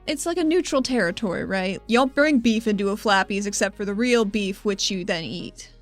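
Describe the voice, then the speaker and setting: A woman's voice: medium pitch, fairly quick, soft background music. A woman playing a tabletop game in character, talking in a bubbly, playful and enthusiastic way. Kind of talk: in-character role-play.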